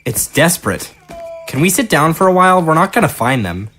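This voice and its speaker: A man's voice: fairly high pitch, big pitch swings, a little fast, fairly bright tone. A man playing a frustrated but caring young son in an audio drama. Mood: disheartened.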